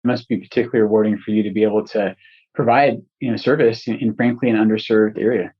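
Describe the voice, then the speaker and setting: Man, from Scotland, podcast host, husky, friendly, halting, muffled lo-fi sound. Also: on the low side, steady tone. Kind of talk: conversational interview.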